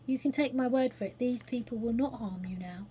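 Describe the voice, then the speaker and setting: A woman voicing a vain, self-satisfied phoenix in a children's story, soft-voiced and pompous. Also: fairly high pitch, fairly quick, a little hiss or hum, lightly acted. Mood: calm, knowing.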